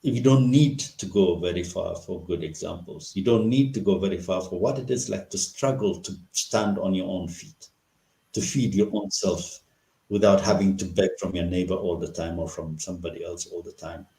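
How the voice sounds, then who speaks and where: A man's voice: low voice, moderate pace, vocal fry, mellow. A middle-aged man from Ethiopia, an interview guest giving impassioned political commentary, asking rhetorical questions and restarting his sentences often. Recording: roomy echo.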